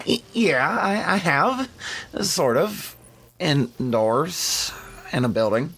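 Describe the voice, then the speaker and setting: A man's voice: a little high, lively intonation, extremely slow, fairly bright tone, husky, full character voice. A man playing a fussy, skeptical, anxious space traveler in an audio drama, whiny and easily flustered. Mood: flustered, hesitant.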